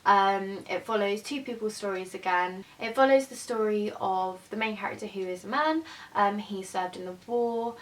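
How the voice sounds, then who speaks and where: Chatty woman, mic at a distance. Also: moderate pace. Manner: chatty, unscripted, hesitant. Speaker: book vlogger. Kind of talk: casual book review chat. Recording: voice from a distance over faint rumble.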